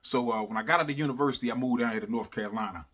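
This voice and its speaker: Forceful bright-voiced guy doing casual funny storytime, slangy and animated, says stuff twice for emphasis. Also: medium pitch, rapid-fire, husky. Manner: animated, slangy, repeats phrases for emphasis, often adds "you know what I'm saying". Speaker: storyteller, host of a story series. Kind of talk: casual comic personal storytelling.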